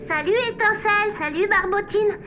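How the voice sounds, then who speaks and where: A woman's voice: very high voice, moderate pace, sharp tone, faint music behind. A woman voicing a cheerful, helpful little wooden puppet boy in a children's cartoon, with an upbeat, encouraging manner. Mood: eager, encouraging.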